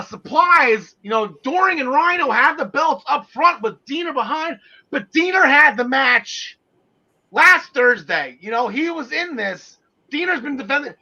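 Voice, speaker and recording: A man hosting a wrestling panel show, recapping events with animated, emphatic delivery on slightly muffled audio. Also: extremely high pitch. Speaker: podcast host.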